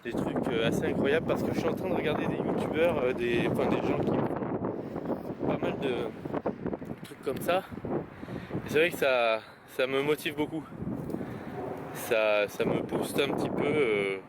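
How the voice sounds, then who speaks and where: A man's voice: medium pitch, a little slow, long pauses. A young man talking casually to camera while hiking outdoors, rambling with frequent hesitations and restarts. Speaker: vlogger. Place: outdoors on mountain trails in wind. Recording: loud wind noise on the mic.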